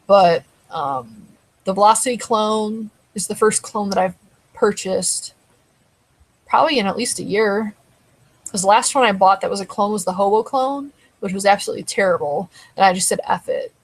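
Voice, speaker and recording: Stream host, woman, raspy with vocal fry, rambling chatty delivery, voice tiring. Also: mid-range voice, normal speed, rough recording. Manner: rambling, conversational, answers chat comments. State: dry throat, voice tiring. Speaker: live show host. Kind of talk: casual live-stream chat.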